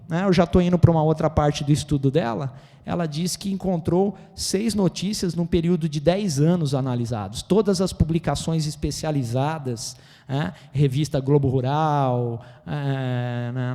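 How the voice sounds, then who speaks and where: Brazilian male lecturer, Brazilian Portuguese accent, excitable, keeps checking in with the room; mellow tone. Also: on the high side. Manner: animated, gesticulating, excitable; constant "né?" tag questions. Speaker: seminar lecturer. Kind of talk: seminar lecture. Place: auditorium. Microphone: handheld microphone. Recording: reverberant auditorium.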